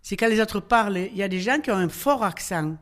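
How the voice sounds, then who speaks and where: A woman chatting and telling family anecdotes in a relaxed way. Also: medium pitch, fairly quick. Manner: chatty, storytelling.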